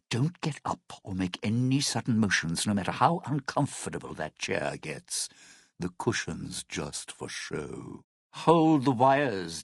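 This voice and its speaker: A man's voice: medium pitch, breathy edge, dry recording, clean studio sound, exaggerated voice. A man playing a slick, cynical lounge singer turned scheming survivor in a video game, with smug, theatrical showbiz patter and an edge of menace. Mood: smooth menace, then wry, weary.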